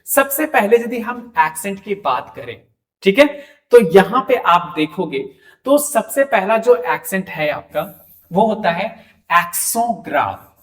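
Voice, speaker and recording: A man's voice: high-pitched, sing-song, slow, fairly bright tone. A man with an Indian accent giving a French lesson to students. He keeps asking them questions and has them repeat words back to him. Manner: talks to students directly and asks them to repeat after him. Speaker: French teacher. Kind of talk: classroom lecture.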